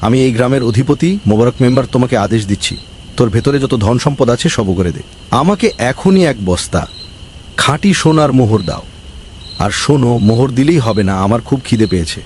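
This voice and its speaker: A man's voice: medium pitch, expressive, brisk, darkish tone, lightly acted. Man acting a greedy village boss, noisy track. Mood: pompous, greedy. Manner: pompous, exclamatory, pleading when frightened. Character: greedy, bossy village council member, cowardly when threatened. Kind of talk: melodramatic comic cartoon acting.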